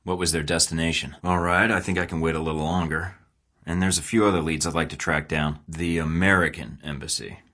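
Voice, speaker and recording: A gruff secret agent in a video game, his voice very low yet bright and forceful at the top. He keeps his lines short and curt, with a dry sarcastic edge. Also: steady tone, brisk. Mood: steady. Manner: curt, sarcastic, clipped delivery.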